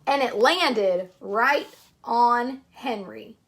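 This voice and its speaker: A woman reading a children's picture book aloud, pausing between short phrases and speaking directly to young listeners. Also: fairly high pitch, fairly bright tone.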